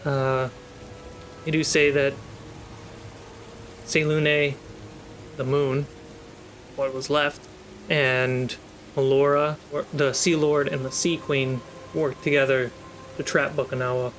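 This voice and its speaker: A man working as game master, narrating a tabletop fantasy game with deliberate, dramatic storytelling pauses. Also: medium pitch, level tone, fairly bright tone, slight background noise, rough recording. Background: storm and ship sound effects.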